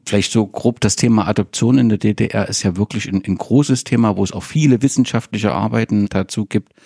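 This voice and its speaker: Man, podcast host, conversational questions, gravelly, dry close sound. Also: lowish voice, darkish tone, no room sound. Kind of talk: podcast interview.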